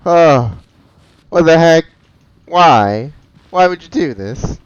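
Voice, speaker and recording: Lively lo-fi gamer guy. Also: fairly high pitch, slow, clear, resonant tone. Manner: chatty, reactive, muttering asides. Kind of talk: casual gameplay commentary. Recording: dull lo-fi mic with faint noise.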